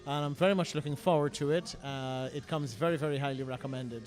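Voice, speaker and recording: A man answering a street interview in a formal, halting way, full of "uh" pauses. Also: medium pitch, darkish tone, faint music behind. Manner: formal wording with many "uh" pauses. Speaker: theatregoer being interviewed. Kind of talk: formal, hesitant vox-pop answer.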